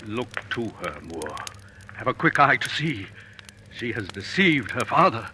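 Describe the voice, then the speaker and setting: A man's voice: medium pitch, very animated, measured pace, pushed and bright, full character voice. Grieving noble father, stern, theatrical, mid-range voice, noisy vintage radio recording. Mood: bitter warning. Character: grieving, bitter noble father of a grown daughter. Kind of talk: 1940s radio Shakespeare acting. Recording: noisy old radio broadcast.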